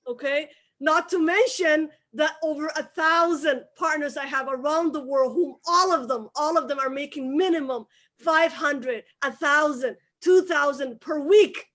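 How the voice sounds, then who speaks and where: Lady, high voice, punchy, says things twice to drive them home. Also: unhurried, a bit bright. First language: Somali. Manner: emphatic, repeats key phrases for emphasis. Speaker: guest, business owner. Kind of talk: motivational interview talk.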